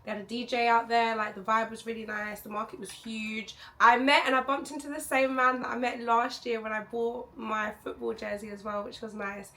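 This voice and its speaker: A young woman vlogger, hoarse from losing her voice, chatting to camera in a rambling, candid way. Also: on the high side, flat delivery, moderate pace, few pauses, pushed and bright. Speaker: travel vlogger. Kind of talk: casual chat to camera.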